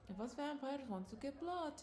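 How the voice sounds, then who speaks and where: A woman's voice: high voice, expressive, moderate pace. A woman playing a flirty, doting vampire bartender in a roleplay audio drama, coaxing and affectionate as she speaks to the listener. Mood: soothing, attentive. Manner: coaxing, affectionate, lingering over words with soft chuckles.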